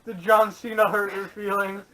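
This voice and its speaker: A man's voice: sits high, level tone, moderate pace, bright, forceful tone. A man co-hosting a podcast in casual, sarcastic banter with plenty of swearing and teasing, caught on a camera microphone. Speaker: podcast co-host. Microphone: camera audio from a distance. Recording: distant camera microphone, poor audio.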